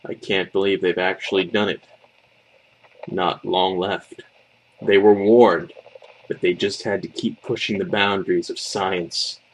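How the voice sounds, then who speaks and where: A man's voice: low voice, fairly even intonation, measured pace, poor audio. A man voicing a doomed witness to the end of the world in an anguished, dramatic creepypasta reading. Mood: grim dread. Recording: faint background noise.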